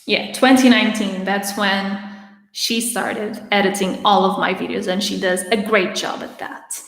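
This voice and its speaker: A raspy-voiced woman in her twenties chats through viewer questions as a livestream host, restarting her sentences now and then. Her voice sounds a little strained, and it rings out in a roomy space with a long reverb tail. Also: mid-range voice, fairly even intonation, measured pace. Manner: chatty, with frequent restarts and laughter. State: having trouble speaking. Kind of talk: casual Q&A livestream.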